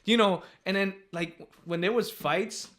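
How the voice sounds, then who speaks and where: Bright-voiced young man, casual and slangy. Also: high voice, a little slow. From Puerto Rico. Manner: relaxed and slangy, swearing freely. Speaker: guest. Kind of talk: casual chat.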